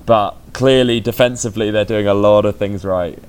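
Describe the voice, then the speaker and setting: Low-voiced man in a casual football chat. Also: steady tone, moderate pace, darkish tone, slight background noise. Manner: dry, self-deprecating humour, thinks aloud with restarts. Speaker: podcast co-host.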